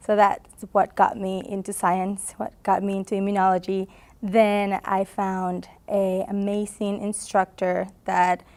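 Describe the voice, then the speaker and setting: A woman's voice: mid-range voice, darkish tone. Honduran woman, earnest and laughing. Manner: earnest, laughs often, frequent fillers. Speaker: graduate student. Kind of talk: recorded interview answers. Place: conference booth.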